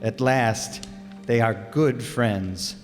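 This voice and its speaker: A man's voice: medium pitch, very slow, over music. A man narrating a radio drama from a script in a dramatic storytelling manner. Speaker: narrator and announcer. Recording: echoey hall, long reverb.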